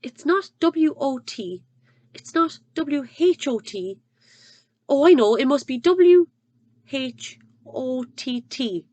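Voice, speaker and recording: A woman voicing a nervous young schoolboy who answers in a high, eager, squealing voice. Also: very animated, normal speed, no room sound.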